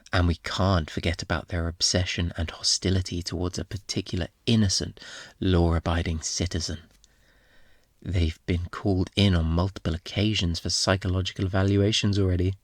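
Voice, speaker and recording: Casting: male actor as a smug master thief for ASMR roleplay. Low voice, close and dry, flirty, theatrically innocent, always insinuating. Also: no echo.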